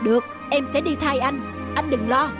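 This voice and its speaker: Woman acting as righteous young hero, high-pitched, declamatory, loyal, cartoon folktale, music behind. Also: normal speed, natural voice. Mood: earnest. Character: brave, loyal young village hero, righteous when betrayed. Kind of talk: animated folktale dialogue.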